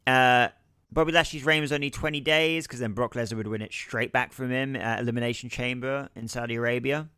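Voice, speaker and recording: A hoarse man chats casually on a podcast, recounting dates and names with the odd curse. His voice stays smooth and resonant despite the strain, and the sound is close and dry. Mid-pitched and relaxed. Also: a little fast, no echo. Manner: casual, profane, recounting title history. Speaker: podcast co-host. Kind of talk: casual wrestling podcast chat.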